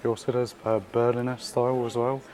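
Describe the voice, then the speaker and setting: A man hosting a casual food vlog while out in the city, his voice croaky from being ill, chatty and upbeat with lots of slang and fillers. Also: mid-range voice, flat delivery, brisk, darkish tone. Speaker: food vlogger. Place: out and about in city streets and a small eatery.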